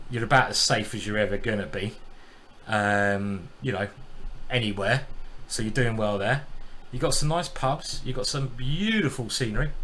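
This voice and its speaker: A man commentating on his own online chess game in a relaxed, jokey live-stream manner. His voice is bright and forward at a middle pitch. A faint background noise sits under him. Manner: chatty, jokey, greets viewers while thinking aloud.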